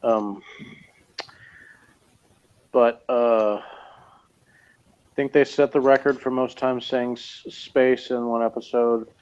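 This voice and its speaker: A man's voice: mid-range voice, little pitch movement, slow, long pauses, a little mellow, clean tone. Tired rambling man, lo-fi. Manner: rambling, hesitant, many fillers. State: tired, yawning. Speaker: podcast co-host reviewing a TV episode. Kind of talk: casual chat.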